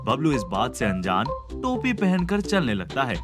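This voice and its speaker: A man's voice: medium pitch, huge pitch swings, normal speed. A man reading scripted narration for a cartoon story. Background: background music.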